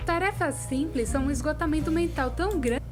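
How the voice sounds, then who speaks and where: Woman acting as high, expressive Brazilian cartoon storyteller. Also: a little fast, natural voice. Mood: exasperated, confessional. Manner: expressive, dramatic, colloquial. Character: self-deprecating animated young woman telling her own story. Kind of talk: animated storytime narration, fan dub. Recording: music behind the voice.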